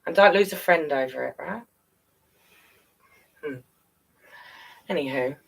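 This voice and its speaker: A woman's voice: low voice, a little slow, creaky, husky, recorded from a distance. A British woman hosting a live storytelling stream, chatting casually and affectionately with her viewers and encouraging them. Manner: affectionate, encouraging, calls listeners darling and guys.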